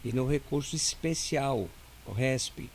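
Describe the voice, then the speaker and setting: Brazilian lawyer explaining things patiently. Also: male voice, mid-range voice, slow pace, slight background noise. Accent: Brazilian Portuguese. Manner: didactic, explains step by step with many "né?". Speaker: lawyer guest. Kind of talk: casual interview talk.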